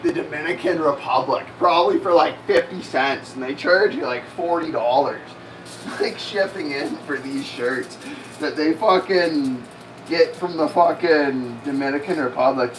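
A man's voice: on the high side, a bit bright, recorded from a distance, slight background noise, low-quality recording. A young man in his twenties, swearing and rambling casually while cooking in a small home kitchen. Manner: rambling, heavy swearing and fillers. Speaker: cooking stream host.

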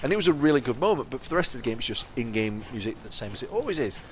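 A man's voice: mid-range voice, very animated, rapid-fire, slight background noise. Chatty South Yorkshire man, rambling. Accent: South Yorkshire English. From England. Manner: rambling, chatty, self-correcting, laughs often. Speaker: gaming channel host. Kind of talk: casual let's-play commentary. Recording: game music faintly behind.

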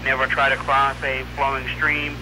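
A man announcer on weather radio, reading scripted forecasts and warnings in a formal, measured way. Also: mid-range voice, completely flat delivery. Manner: formal, measured bulletin delivery. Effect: radio broadcast. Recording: noisy 1990s weather radio broadcast with hum.

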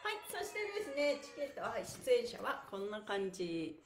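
A woman's voice: medium pitch, expressive, normal speed, roomy sound. A middle-aged woman hosting a chatty live exercise class, bubbly and playful as she addresses her viewers. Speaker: pantomime teacher and livestream host. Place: indoor room with bare walls and exercise mat.

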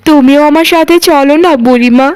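A woman voicing a humble, gentle young village girl in a cartoon folk tale, earnest in her speech. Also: high voice, brisk, close to an everyday voice.

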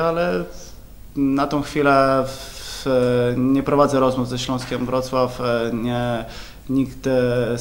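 Flat-voiced man stumbling through answers. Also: medium pitch, slight background noise. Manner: halting, many repeated words and fillers. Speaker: football player at a press conference. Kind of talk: press conference answers. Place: club press room, indoors. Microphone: table mics in front.

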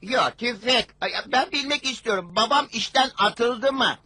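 A woman voicing a young, level-headed boy in a dubbed cartoon, with a husky voice and a dry, cheeky manner. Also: on the high side, animated, normal speed, sharp tone, no echo, heavily acted. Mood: puzzled, insistent.

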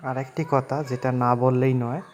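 A man's voice: mid-range voice, level tone, fairly quick, darkish tone. A man narrating a phone app tutorial step by step, in a repetitive, instructional way. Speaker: tech tutorial host.

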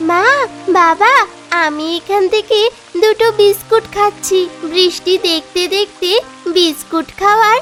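A small village girl, affectionate and easily worried, acted by a woman. Her voice is high and childlike, in cartoon storytelling style with music behind it. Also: unhurried, fairly bright tone. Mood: childlike, curious. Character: anxious, affectionate young village daughter. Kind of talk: cartoon fairy-tale acting.

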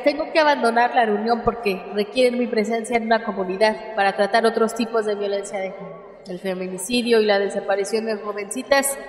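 A woman's voice: mid-range voice, lightly acted. A woman playing an earnest female political activist and community leader in a radio drama, speaking in a speech-making way. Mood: grateful, resolute. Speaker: actor in a radio serial. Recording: echoey hall.

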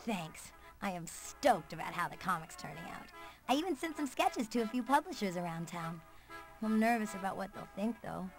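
A woman's voice: medium pitch, lively intonation, normal speed, soft background music, natural voice. A young woman with an American accent playing an upbeat skater girl and comic artist in a video game cutscene, perky and slangy.

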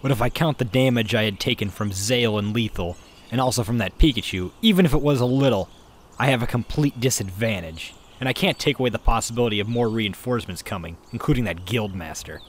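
A man playing a ruthless, menacing fox-like monster villain in a comic dub, given to shouting. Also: medium pitch, fast, a little hiss or hum, natural voice. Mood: calculating, tense.

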